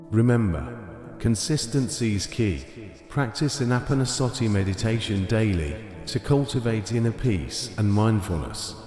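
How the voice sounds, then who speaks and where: A man narrating a scripted guided meditation in a gentle, unhurried manner, with short phrases spaced apart. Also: fairly low pitch. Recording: echoey long reverb over ambient music. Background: soft ambient music.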